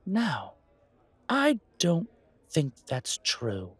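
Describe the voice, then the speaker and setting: A man's voice: fairly high pitch, wildly swooping pitch, very slow, breathy edge, dry recording, full character voice. A man voicing a refined, haughty old vampire who speaks in a formal, courtly, theatrical way. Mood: haughty, incredulous.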